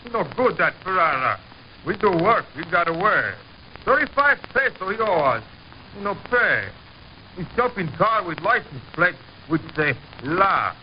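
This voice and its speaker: A man playing an evasive, excitable Mexican smuggler with a Mexican accent and broken English in an old radio drama. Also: sits high, unhurried. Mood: evasive, aggrieved. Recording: muffled, noisy old radio recording.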